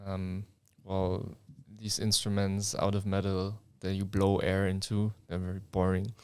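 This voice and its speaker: A young man hosting a laid-back podcast, his humor dry and understated. His voice is low and very smooth, captured close and dry with no room echo. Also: a little slow. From Germany. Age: young adult. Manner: relaxed, wry deadpan humor. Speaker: podcast co-host. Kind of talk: casual chat. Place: indoor room, seated in front of camera.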